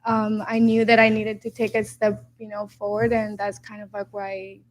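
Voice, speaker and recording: A woman's voice: mid-range voice, monotone, normal speed. A young woman from Honduras, a DJ and producer guest, talking casually and hesitantly with many fillers. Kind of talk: casual interview chat.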